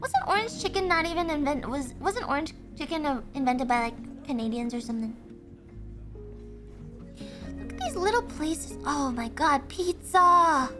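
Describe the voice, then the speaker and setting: A woman streamer chatting casually and thinking aloud, excitable, with frequent gasps and laughs. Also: sits high, moderate pace, music in the background. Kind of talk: casual livestream chat.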